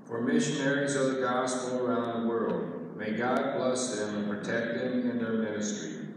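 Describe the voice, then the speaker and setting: A man reads prayers of the faithful in a measured, formal voice. The church around him rings with long reverb. He sits well back from the recording microphone. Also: mid-range voice, slight background noise. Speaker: lector reading petitions. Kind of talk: reading intercessions. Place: large church. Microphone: far camera. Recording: echoey church from far off.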